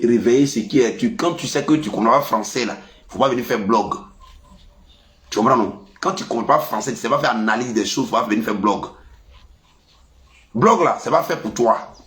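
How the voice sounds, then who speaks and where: A man with an Ivorian French accent and a head cold delivers a casual monologue. His voice swings up and down as he scolds, stuffy yet animated, at a middling pitch. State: has a cold. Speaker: vlogger.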